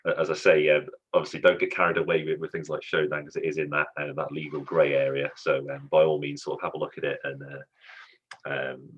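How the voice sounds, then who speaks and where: A man with an extremely deep, husky, flat voice hosts an online student event, halting often with ums and restarts. The sound comes through a dull, lo-fi call. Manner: hesitant, many ums and restarts, friendly. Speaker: student society host. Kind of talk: hosting an online society event.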